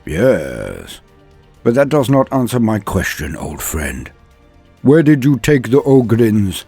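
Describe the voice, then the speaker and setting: A man voicing a stern, weary army commander in an audio story, slow and deliberate. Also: on the low side, huge pitch swings, dark tone, slightly rough, dry recording, faint music behind, heavily acted. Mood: stern, pressing. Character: stern, weary male army commander questioning an old friend. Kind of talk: dramatic audio story character voice.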